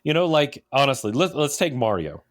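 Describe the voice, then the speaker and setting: A man with an average-pitched voice co-hosts a casual podcast. He talks informally and gives his opinions freely, in a loose conversational style. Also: very animated, moderate pace. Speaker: podcast co-host.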